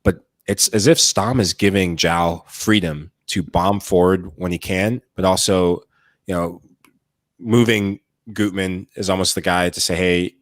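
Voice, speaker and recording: A man's voice: low-pitched, measured pace, no room sound. A man co-hosting a soccer podcast, giving eager, gushing analysis. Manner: animated, gushing praise. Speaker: podcast co-host.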